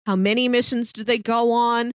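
A woman's voice: a little high, little pitch movement, normal speed, clean tone, no room sound, studio quality. A woman podcast co-host in a casual film discussion, chatty and musing aloud. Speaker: podcast co-host reviewing films.

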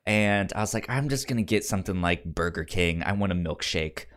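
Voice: sits low, brisk. A podcast co-host with a stutter, repeating sounds and words while telling an informal story in casual chat and acting out the dialogue.

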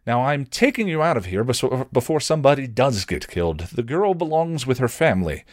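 A man voicing a stern, controlling middle-aged father with a gruff, insistent manner in a story reading. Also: lowish voice, expressive, normal speed, no room sound, studio quality.

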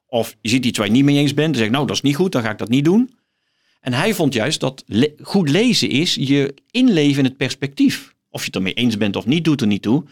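A middle-aged man, a guest professor explaining things in an interview, thoughtfully and emphatically, halting between phrases. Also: mid-range voice, expressive. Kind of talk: explanatory interview talk with some reading aloud.

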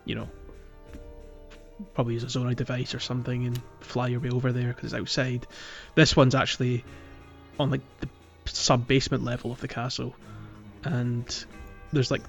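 A man's voice: mid-range voice, level tone, moderate pace, muffled recording. A man hosting a podcast, chatting casually and explanatorily through a video game playthrough. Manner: conversational, explanatory. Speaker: podcast host. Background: clear music.

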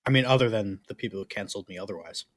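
A man's voice: lowish voice, rapid-fire, no echo. A man co-hosting a podcast in casual, bantering conversation. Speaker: podcast co-host.

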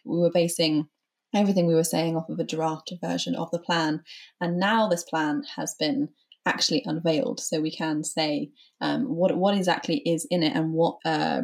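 Female co-host, lower-pitched, light and teasing. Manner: lightly teasing, laughs easily. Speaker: podcast co-host. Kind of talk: news podcast chat.

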